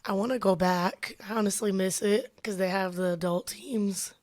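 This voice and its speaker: A woman's voice: medium pitch, fairly even intonation, darkish tone. A nineteen-year-old woman from Utah, a musician guest on a podcast, talking in a chatty, upbeat way and acting out little quotes. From Utah, USA. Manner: chatty, upbeat, quick to laugh, acts out quotes. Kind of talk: casual interview chat.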